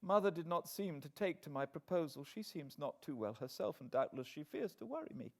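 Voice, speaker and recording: A frail, anxious young British woman, as performed by a male narrator, confides in her diary in an audiobook. The voice sits high and moves expressively up and down. The manner is weary and plaintive. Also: big pitch swings, a little fast. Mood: weary, subdued. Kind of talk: dramatised audiobook diary reading.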